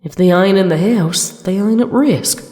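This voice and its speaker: A woman plays an earnest young mare from a cartoon, with a twang. She broods slowly over her troubles in a dramatic reading, her voice echoing in a long, hall-like reverb. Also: mid-range voice, a little mellow, close to an everyday voice. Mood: troubled, brooding. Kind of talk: dramatic fan fiction reading.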